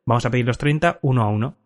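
A man hosting a casual podcast, telling a drunken travel anecdote with frequent laughs and swearing. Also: medium pitch. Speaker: podcast host. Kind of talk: casual chat, storytelling.

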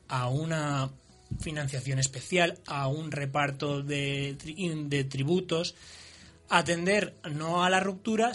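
A man from Spain giving quick, fluent political analysis on a radio panel. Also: fairly high pitch, fairly bright tone. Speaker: political commentator. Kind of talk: panel debate.

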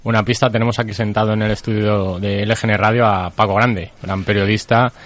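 A man's voice: on the low side, fairly even intonation, brisk, a bit bright. A man with a Spanish accent from Spain, hosting casual sports talk and interviewing in a radio studio.

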